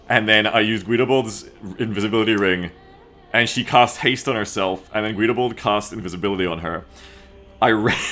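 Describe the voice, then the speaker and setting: A man chatting casually to viewers as a game streamer, rambling, excitable and swearing freely. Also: mid-range voice. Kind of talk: casual livestream chat to viewers. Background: music.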